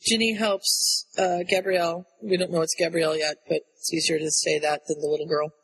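A man co-hosting a casual book discussion, retelling the story in an animated way. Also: a little high, a little fast, gravelly, slightly rough. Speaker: podcast co-host. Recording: long echoey reverb.

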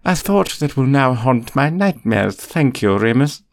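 A man reading an audiobook, voicing a stern older female professor with a crisp, clipped, prim delivery. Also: medium pitch, sing-song, measured pace, pitched above the performer's own voice. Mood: tart. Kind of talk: audiobook character voice.

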